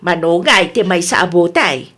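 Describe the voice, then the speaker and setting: A woman's voice: on the low side, moderate pace, fairly bright tone. A woman playing a chatty village neighbour in an Ilocano comedy radio drama, with an Ilocano Filipino accent.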